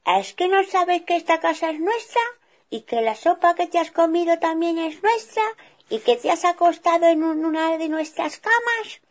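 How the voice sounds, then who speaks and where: A big papa bear blusters in loud indignation, as a woman puts him on. The voice stays breathy and lively. It sounds like a children's storybook reading. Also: fairly low pitch, measured pace, pitched above the performer's own voice, exaggerated voice. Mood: scolding. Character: big papa bear, gruff and easily angered.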